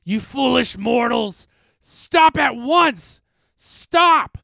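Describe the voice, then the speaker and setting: Menacing male specter for horror storytelling, acted by a man: raised pitch, bellowed drawn-out threats, gloating and vengeful. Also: lively intonation, vocal fry, bright, forceful tone, no echo, clean studio sound, exaggerated voice. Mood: furious, panicked.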